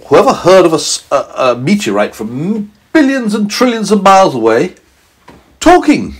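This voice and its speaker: A man's voice: high-pitched, wildly swooping pitch, normal speed, husky, voice pushed up, exaggerated voice. A man doing a flabbergasted scientist. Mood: amazed. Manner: amazed and flustered. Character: astonished bespectacled male scientist.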